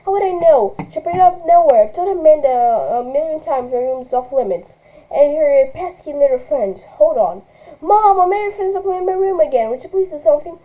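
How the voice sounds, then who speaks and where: Woman doing a spoiled teen girl, snobby, complaining, high voice, dark soft tone. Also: animated, a little fast, low-quality recording. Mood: indignant. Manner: bratty, complaining.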